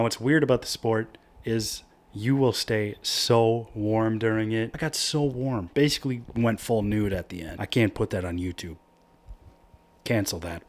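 A young man in his twenties, an upbeat vlogger explaining a sport in a chatty way from a cozy living room. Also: lowish voice. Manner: chatty, upbeat, slangy asides. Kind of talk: casual explainer vlog.

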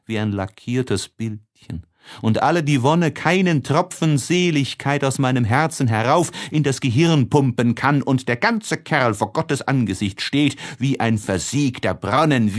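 A man playing a tormented, lovesick young man in an audiobook of a classic novel, emotive and halting, with heavy pauses. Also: mid-range voice, close to an everyday voice. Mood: anguished, pleading. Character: tormented, lovesick young man writing despairing diary letters.

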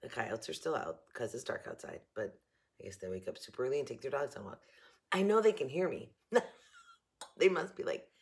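A slightly hoarse woman in her fifties chatting casually to camera from her home kitchen, rambling and joking about herself as she hosts a shopping haul video. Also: a bass voice, very animated, fairly quick. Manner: chatty, rambling, self-mocking. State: a little hoarse. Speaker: haul vlogger. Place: home kitchen, indoors, early morning.

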